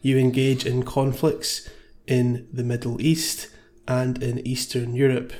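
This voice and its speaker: A man's voice: medium pitch, completely flat delivery, a little slow, mellow. A man taking part as a contestant in a comedy panel game, bantering and improvising reviews.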